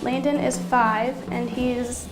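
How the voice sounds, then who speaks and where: A woman's voice: on the high side, little pitch movement, takes it slowly, roomy sound, music in the background. A nineteen-year-old woman from Maine, chatting fondly and a little hesitantly in an interview. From Maine, USA. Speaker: student interviewee. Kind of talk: casual interview answers.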